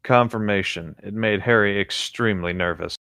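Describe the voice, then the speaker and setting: A man's voice: lowish voice, normal speed, pushed and bright, dry recording. A man co-hosting a read-aloud of a story, narrating the prose and its dialogue with brief reactions. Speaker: co-host reading fan fiction aloud.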